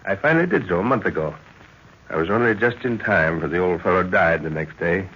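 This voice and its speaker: A man's voice: sits low, lively intonation, a little fast, slightly rough. An actor playing an older professor and explorer, fatherly and earnest, speaking formally in an old-time radio drama. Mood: reflective. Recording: dull vintage radio recording.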